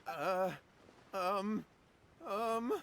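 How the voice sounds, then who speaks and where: A man's voice: sits high, extremely slow, a bit bright, slight background noise, heavily acted. A man playing a nervous, cowardly young schemer in a video game, speaking in a flustered, pleading way. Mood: panicked. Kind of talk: video game character acting.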